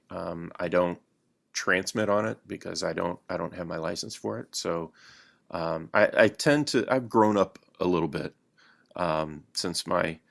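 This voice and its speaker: Podcast guest guy, low voice w vocal fry, halting, pauses a lot, just chatting. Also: fairly bright tone. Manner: halting, pausing often mid-sentence. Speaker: podcast guest, radio hobbyist. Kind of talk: unscripted casual chat.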